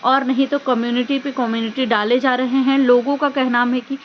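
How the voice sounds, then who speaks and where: Female vlogger, higher-pitched, emphatic chatty commentary; dull lo-fi sound with some noise. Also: level tone, normal speed. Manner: conversational, emphatic, with rhetorical questions. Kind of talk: casual opinion commentary on social media drama. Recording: dull lo-fi audio with background noise.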